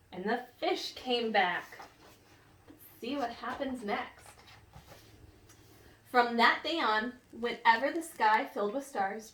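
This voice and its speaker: Female children's storyteller, fairly high voice, expressive and instructive, recorded in an echoey room. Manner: expressive, teacherly, pausing to ask and explain. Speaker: read-aloud storyteller for children. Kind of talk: picture book read-aloud with questions to young listeners. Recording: roomy, distant mic with faint noise.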